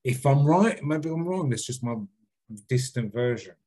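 A man's voice: medium pitch, animated, normal speed, dark tone, slight background noise. A man co-hosting a podcast, reading out a listener email and then rambling, hedging and swearing freely in casual chat. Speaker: podcast co-host.

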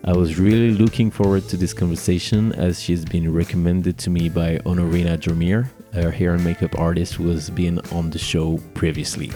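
A man's voice: sits low, little pitch movement, normal speed, few pauses, mellow, faint music behind. A man from France hosting a podcast, reading a scripted introduction and then interviewing a guest. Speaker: podcast host, wedding officiant.

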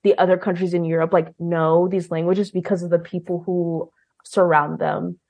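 A woman's voice: on the low side, level tone, moderate pace, darkish tone. Young woman, guest in a casual interview, filler-heavy and conversational. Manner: chatty, many "like" and "um" fillers. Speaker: guest, language program leader.

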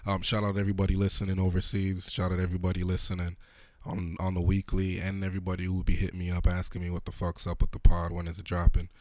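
A man hosting a podcast, hoarse, talking in a laid-back, slangy, profane way. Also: deep voice, monotone, quick pace, darkish tone, no echo. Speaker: podcast host. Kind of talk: casual podcast chat.

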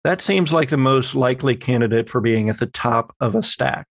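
A man's voice: mid-range voice, animated, moderate pace, gravelly. A man acting as game master, explaining the rules to his players and guiding them with questions. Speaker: game master and podcast host. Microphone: close personal microphone on a remote group call.

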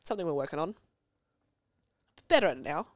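Aussie woman with a cold, bit low, chatty storyteller on a podcast mic. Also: lively intonation, no room sound, clean studio sound. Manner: chatty, self-deprecating, quick storytelling with quoted asides. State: congested from a cold. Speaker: podcast guest. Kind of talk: casual chat. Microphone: studio podcast mic.

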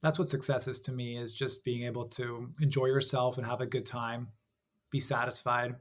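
A young adult man with an American accent, talking as an interview guest. His delivery is articulate and measured, steady and reflective, at an ordinary middle pitch. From Hong Kong. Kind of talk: conversational interview.

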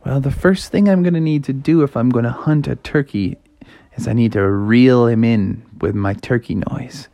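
An eager frog hunter from a children's story, played by a man, earnest and exclaiming. He is well-meaning and excitable, in a close, dry recording. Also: mid-range voice, moderate pace, gravelly, dry recording, muffled recording, close to an everyday voice. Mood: planning.

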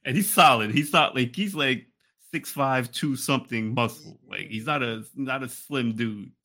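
A man co-hosting a podcast, telling stories casually in an animated way and repeating himself for emphasis. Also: mid-range voice, normal speed. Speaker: podcast co-host. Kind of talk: casual chat, storytelling.

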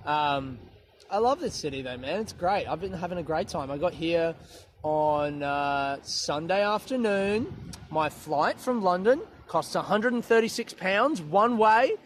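A man's voice: a little high, expressive, measured pace, a little hiss or hum. Sweary man rambling outdoors. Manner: sweary, self-mocking, laughs often. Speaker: comedian podcaster. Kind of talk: casual rambling monologue. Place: outdoors, walking through a park.

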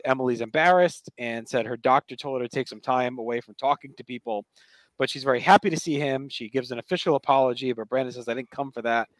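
A man's voice: medium pitch, no room sound, rough recording. A male podcast host gives a casual recap of a TV episode in a mid-range voice. He talks conversationally, slipping into quoted dialogue as he retells scenes. The sound is dry and close.

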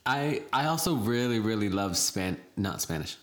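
Young woman, casual chat, giggly, rambling, very lively intonation. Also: extremely low pitch, normal speed.

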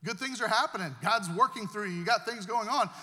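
High, bright-voiced pastor preaching in a hall. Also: male voice, fairly quick. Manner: earnest preaching with repeated words and restarts. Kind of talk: sermon.

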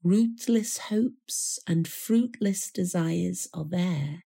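A woman reciting a poem in a measured, solemn manner with deliberate pauses. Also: on the low side, vocal fry, dark tone, breathy edge. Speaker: poetry reader. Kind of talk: poetry recital.

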